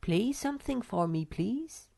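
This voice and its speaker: A woman reading aloud and voicing a vain, sweet young female creature with a high, breezy manner. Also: expressive, unhurried, vocal fry, dark tone, no echo, heavily acted. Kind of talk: audiobook character voice.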